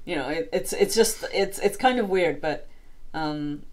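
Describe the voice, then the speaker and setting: A woman's voice: on the low side, darkish tone. A woman from South America, a native Spanish speaker, chatting casually as a guest. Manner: rambling, restarts and thoughtful pauses. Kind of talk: casual chat.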